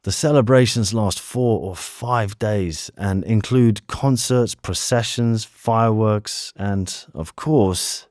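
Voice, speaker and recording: A man reading scripted city tour narration for English learners in a measured, clearly phrased way. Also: fairly low pitch, a little mellow, dry recording, studio quality.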